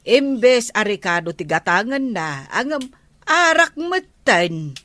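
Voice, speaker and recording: A woman's voice: mid-range voice, sing-song, slow, bright, forceful tone, heavily acted. A woman with an Ilocano accent playing a nagging village wife in a comedy drama.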